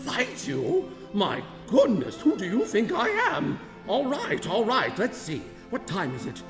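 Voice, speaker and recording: Goofy old inventor for radio drama, high voice, super theatrical, echoey hall sound. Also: male voice, huge pitch swings, a little slow, exaggerated voice. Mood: flustered, indignant. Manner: theatrical, exclamatory, flustered. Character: excitable, bumbling, self-important old male inventor. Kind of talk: radio play acting. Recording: roomy echoing hall sound, music behind.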